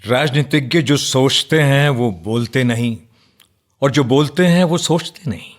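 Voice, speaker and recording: An actor in a radio play playing an earnest, outspoken nationalist man who debates firmly but respectfully, in deliberate, declamatory phrases. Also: mid-range voice, natural voice. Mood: respectful, candid.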